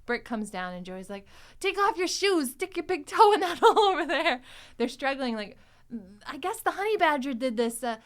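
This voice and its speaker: A woman's voice: high voice, sing-song, normal speed. A woman hosting a podcast, chatting casually and retelling scenes, animatedly acting out the dialogue as she goes. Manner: animatedly acts out quoted dialogue. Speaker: podcast host recapping an audio drama.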